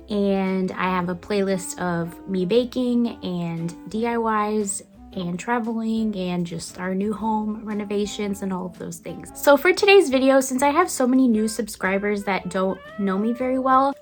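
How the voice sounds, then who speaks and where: A young woman from Brazil with a slight Brazilian accent, chatting upbeat in a casual get-to-know-me vlog from her home kitchen, with small restarts. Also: fairly high pitch. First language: Brazilian Portuguese. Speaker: lifestyle vlogger. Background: faint guitar music.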